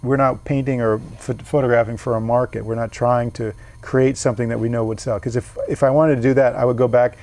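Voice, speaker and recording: A man's voice: mid-range voice, fairly even intonation, a little fast, hardly any pauses, a little mellow. A man, a painter being interviewed, talking things through thoughtfully with frequent restarts. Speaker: painter and guest. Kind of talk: casual interview.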